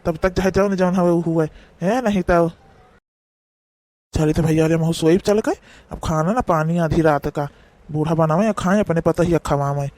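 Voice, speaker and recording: A man plays a cranky old village father-in-law from a comedy cartoon. His voice is high for a man and closely recorded without echo. He has an Awadhi accent and scolds in an emphatic, rambling way. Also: normal speed, a little mellow, natural voice. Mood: grumbling.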